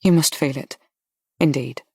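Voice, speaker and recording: A narrator voicing a genteel, kind-hearted lady in an audiobook, speaking politely and gently. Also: mid-range voice, expressive, a little slow, slightly rough, no room sound, studio quality, exaggerated voice. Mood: moved, subdued. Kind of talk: audiobook character dialogue.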